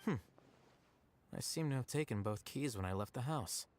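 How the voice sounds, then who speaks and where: A man's voice: mid-range voice, expressive, normal speed, darkish tone, soft background music, lightly acted. A man playing an aloof, blunt, intellectual young scribe in a video game, speaking in a dry, formal, matter-of-fact way. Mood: dry, detached. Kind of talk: video game character dialogue.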